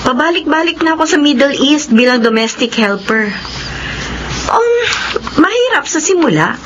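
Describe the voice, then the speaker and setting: Kindly filipino auntie giving advice, highish swoopy voice, radio drama, muffled n noisy. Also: female voice, moderate pace. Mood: concerned, reflective. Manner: expressive, advising. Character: kindly, seasoned older domestic helper, motherly adviser. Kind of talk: Tagalog radio drama acting.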